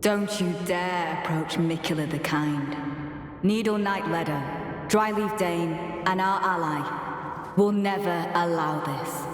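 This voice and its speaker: A woman playing a courteous but coldly zealous knight in a fantasy game, speaking in formal, measured, archaic lines. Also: low voice. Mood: fierce, defiant. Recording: echoey game audio under music.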